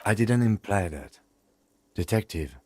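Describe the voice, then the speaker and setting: An actor playing a composed, courteous police lieutenant in a video game, with a husky, measured voice. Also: male voice, on the low side, animated, darkish tone, no room sound. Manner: measured, polite, restrained. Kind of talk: game voice acting. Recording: dry, with music behind.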